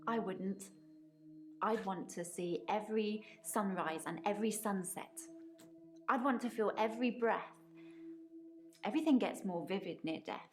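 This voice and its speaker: An actress voicing a young woman nurse in her twenties in a game, earnest and idealistic, speaking calmly. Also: mid-range voice, moderate pace, long pauses, faint music behind, close to an everyday voice. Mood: sincere. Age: 20s.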